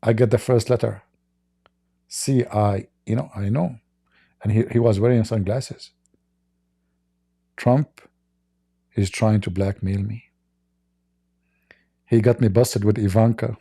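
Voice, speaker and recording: Mellow-voiced sarcastic male commentator. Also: on the low side, steady tone, slow pace, creaky, pauses often. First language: not English. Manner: sarcastic, laughs often, broken English. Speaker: livestream host. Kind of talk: mocking commentary on video clips.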